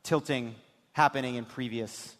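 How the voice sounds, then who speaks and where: A man hosting a live political comedy talk show, speaking emphatically with halting, restarted phrases. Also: mid-range voice, fairly even intonation, a bit bright. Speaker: host. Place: indoor stage before a live audience. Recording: echoey hall, live stage.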